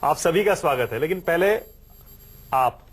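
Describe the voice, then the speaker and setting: A man anchoring a TV news show, interviewing a guest in the studio with brisk, teasing questions. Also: a little high, expressive, slightly rough.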